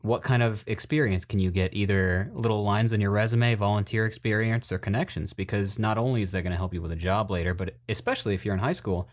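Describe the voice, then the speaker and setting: A man with a lowish voice co-hosts an advice podcast. His delivery is casual and colloquial, like everyday conversation. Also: steady tone, fast, hardly any pauses. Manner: informal, colloquial. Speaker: podcast co-host.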